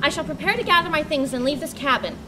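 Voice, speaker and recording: A woman's voice: high-pitched, quick pace, lightly acted. A woman playing an earnest, brooding hermit writer. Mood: reflective. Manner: earnest, solemn, poetic. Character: solitary, brooding male nature writer hermit. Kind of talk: amateur dramatic reading. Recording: loud background noise.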